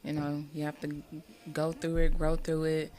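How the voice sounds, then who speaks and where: A woman with a low voice hosts a relaxed podcast conversation. She leads with gentle prompts and supportive, affirming remarks to draw out her guest. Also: moderate pace, a little hiss or hum. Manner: encouraging, affirming follow-up prompts. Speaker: podcast host. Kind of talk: interviewing, casual chat.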